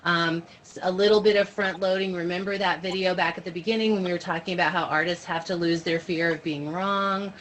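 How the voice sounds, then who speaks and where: Lower-voiced woman leading training, noisy call. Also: fairly even intonation, brisk, few pauses, a bit bright. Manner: explanatory, informal, checks in with listeners. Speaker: workshop leader training teachers. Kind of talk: informal online training presentation with questions and answers. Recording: noisy video-call audio.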